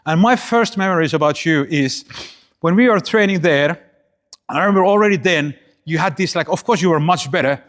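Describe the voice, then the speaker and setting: A man's voice: on the high side, a little fast. A man hosting a podcast, asking friendly, chatty questions with lots of fillers. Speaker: podcast host. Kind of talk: casual interview.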